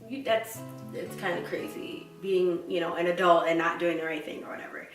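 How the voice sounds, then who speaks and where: A woman's voice: on the low side, fairly even intonation, a little fast, reverberant, far from the mic, faint music behind, poor audio. A woman with a stutter, talking in a casual, reflective personal chat to her viewers, with fillers and restarts. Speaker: vlogger.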